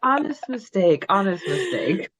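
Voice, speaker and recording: A woman's voice: mid-range voice, big pitch swings, a little slow, slight background noise. A woman hosting a podcast in a chatty, giggly manner, moving between casual talk and recap. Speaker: podcast host. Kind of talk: casual chat and chapter recap.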